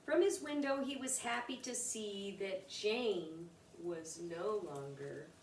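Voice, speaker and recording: A woman reading a rhyming children's picture book aloud in an expressive, deliberate way for young listeners. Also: medium pitch. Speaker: storybook reader. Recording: roomy, distant mic with background noise.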